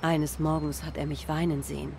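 An actress playing an earnest, wistful diner waitress in a video game, speaking sincerely and a little hesitantly. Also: female voice, deep voice, little pitch movement, a little fast, vocal fry, background noise. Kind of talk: German game dub, dramatic dialogue.

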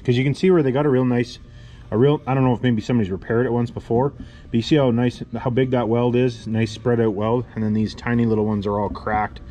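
A man's voice: mid-range voice, fairly even intonation, fairly quick, warm tone, muffled recording. Rambling man in a welding shop. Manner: rambling, self-correcting, plain-spoken. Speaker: welder and channel host. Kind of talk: casual workshop how-to talk. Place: cluttered workshop garage.

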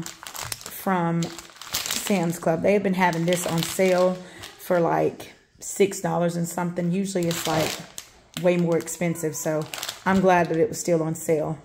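A woman in her thirties casually listing grocery items at home, rambling with many pauses and fillers, filmed on a handheld phone. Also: lowish voice, level tone, background noise. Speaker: vlogger showing a grocery haul. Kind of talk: casual show-and-tell narration. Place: home kitchen, indoors.

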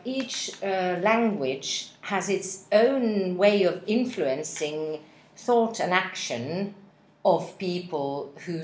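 A woman's voice: on the low side, reverberant, a little hiss or hum, rough recording. A woman in her forties, a native Finnish speaker, giving a practice speech to interpreters with many hesitations and spelled-out words, recorded on a laptop webcam in an office room. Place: office meeting room with window blinds.

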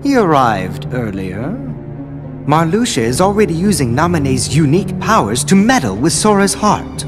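A cold, condescending scholar speaks in measured riddles, his pitch swinging widely over each line. Loud, tense music plays under him in the game cutscene. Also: very animated. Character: scheming robed male scholar, cold and condescending. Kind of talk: video game cutscene dialogue.

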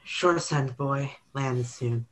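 A woman playing a gruff, unhurried male sailor in an amateur radio play. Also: extremely low pitch, slight background noise, low-quality recording. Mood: reassuring.

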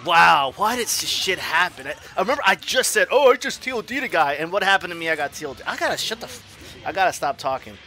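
A man in his forties commenting on his own online fighting game matches, swinging from low mutters to loud yells, laughing and cursing at himself and his opponent. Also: very animated, quick pace. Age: 40s. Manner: profane, self-mocking trash talk. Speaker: gaming YouTuber. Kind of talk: live gameplay commentary.

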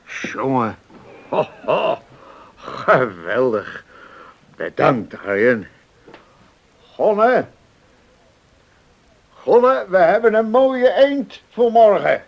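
Genial old sea dog for radio play: slow, animated, hearty and reminiscing. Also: male voice, sits high, gravelly, lo-fi sound, exaggerated voice. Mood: welcoming, hearty. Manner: hesitant, musing, hearty exclamations. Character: genial old retired island seaman, fond of reminiscing. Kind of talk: radio drama acting.

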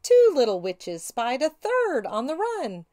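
A middle-aged woman hosting children's storytime, animated and sing-song, speaking directly to young listeners. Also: high voice, measured pace, roomy sound. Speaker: children's read-aloud show host. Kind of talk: children's storytime presenting and picture-book reading.

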